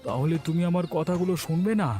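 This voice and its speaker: A man playing a kind, principled poor villager in a cartoon, speaking in an earnest, gently persuasive manner. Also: fairly high pitch, quick pace, natural voice. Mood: earnest, pleading. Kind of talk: Bengali moral-story cartoon dubbing. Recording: music behind the voice.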